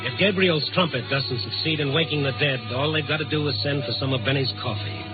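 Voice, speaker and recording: A man's voice: a little high, quick pace, close to an everyday voice. An American actor playing a world-weary night reporter in a 1950s radio drama, wisecracking as he narrates. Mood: wry, soothing. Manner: hard-boiled, wisecracking narration. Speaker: actor in the lead role. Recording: tinny old radio recording with loud music.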